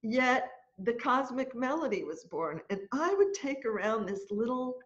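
A woman in her sixties, a choir leader, telling a story in an animated, chatty way during a lesson on a video call from home. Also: a little high, a little slow. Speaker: choir leader and music teacher. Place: room at home, indoors. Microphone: laptop webcam on a video call. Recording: dull lo-fi video call audio.

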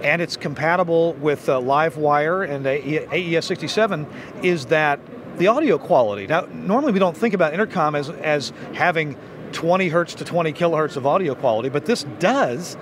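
A man's voice: a little high, fairly quick. A middle-aged or older man hosting a trade show interview, speaking into a handheld microphone. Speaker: interviewer and host. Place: trade show booth, indoors. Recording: clear busy trade-show floor noise.

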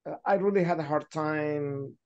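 A middle-aged man from Chile, speaking as a panelist on a video call through a headset, thoughtful and halting as he searches for words. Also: fairly high pitch, a little mellow, highs cut off. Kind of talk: reflective panel discussion.

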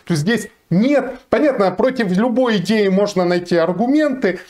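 A man's voice: sits high, lively intonation, moderate pace, a little mellow. A man in his forties, a lawyer from Ukraine, giving earnest, emphatic commentary to camera while seated in a small room, arguing his point with frequent fillers. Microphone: fixed camera, seated facing it.

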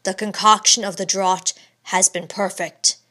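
A woman's voice: medium pitch, fairly even intonation, a little slow, sharp tone. A woman reading the part of an intense, proud male scientist, speaking sternly in formal old-fashioned language. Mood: confident.

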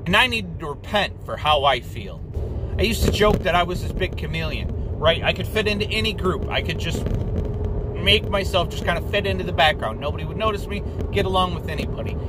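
A man's voice: high-pitched, noisy background. A young man vlogging from inside his car at night, talking heatedly and repeating phrases for emphasis, swearing now and then. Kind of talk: impassioned monologue to camera. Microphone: dashboard camera facing driver.

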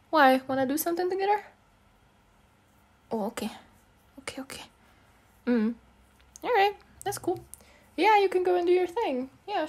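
A woman's voice: fairly high pitch, darkish tone. A young non-native English-speaking woman in a casual, intimate girlfriend ASMR roleplay, talking in a slangy, teasing, coaxing way with giggles. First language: not English. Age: young adult.

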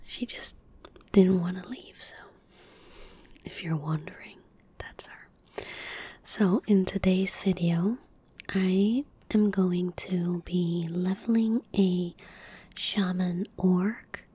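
A young woman giving soft-spoken ASMR gaming commentary, hushed, gentle and whispery, close to the microphone. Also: lowish voice, takes it slowly. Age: young adult. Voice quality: breathy, whispery. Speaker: ASMR streamer playing a game. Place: indoor gaming room at home. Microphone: close desk microphone.

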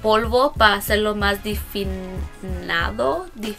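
A woman's voice: medium pitch, takes it slowly, gravelly, a bit bright, soft background music. A young woman, a native English speaker, chatting through a casual makeup tutorial in a small room, hesitant and self-correcting as she mixes in English words. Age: young adult. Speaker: beauty vlogger.

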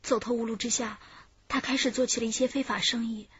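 A woman's voice: fairly high pitch, steady tone, fast, fairly bright tone, slightly rough, no echo, lo-fi sound. A woman acting in a radio drama as a wary, defiant young woman in a criminal gang, complaining and evasive. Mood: subdued, confessing.

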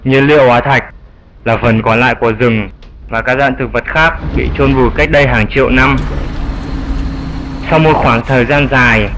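A man's voice: mid-range voice, steady tone, normal speed, long pauses, a bit bright, slight background noise, natural voice, low-quality recording. A man voicing a wise, elderly grandfather bat who patiently explains things in an educational cartoon dub. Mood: patient, explaining. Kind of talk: dubbed educational cartoon dialogue.

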